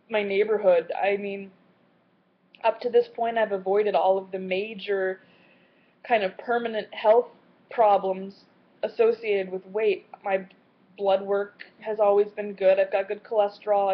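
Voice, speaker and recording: A woman in her late twenties talking in a personal video diary, earnest and candid, with a halting way of speaking. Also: mid-range voice. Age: late 20s. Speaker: vlogger. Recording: slightly muffled lo-fi audio.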